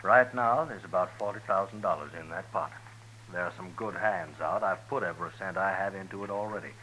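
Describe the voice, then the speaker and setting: An insistent gambling con man with a very deep, forceful voice that pushes every point. Faint hiss of old radio sits beneath. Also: brisk, poor audio. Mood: urgent, persuasive. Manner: insistent, persuasive. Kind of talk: 1950s radio drama acting.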